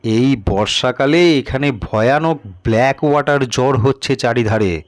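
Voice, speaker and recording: A man's voice: mid-range voice, unhurried, no echo, studio quality, lightly acted. A man voicing a weary senior sub-inspector in an audio story, speaking in a grave, resigned, emphatic way. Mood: grave warning.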